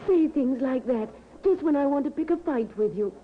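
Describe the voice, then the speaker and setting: A woman's voice: high voice, lively intonation, moderate pace, a little hiss or hum. A woman playing an affectionate but discontented mistress, pleading earnestly in an old radio drama. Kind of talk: 1950s radio drama acting. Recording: tinny, narrow old radio recording.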